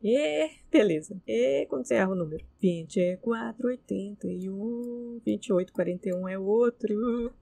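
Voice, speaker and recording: A woman's voice: mid-range voice, normal speed, creaky, hardly any pauses, dark tone. A Brazilian woman reading scripted narration in outtakes, slipping into casual, self-mocking muttered asides. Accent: Brazilian Portuguese. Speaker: narrator of horror fiction records.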